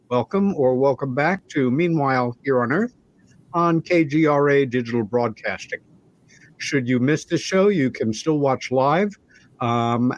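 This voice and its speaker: A man hosting a talk show, speaking in a measured, deliberate, conversational way. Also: medium pitch, highs cut off. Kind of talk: conversational show introduction and interviewing.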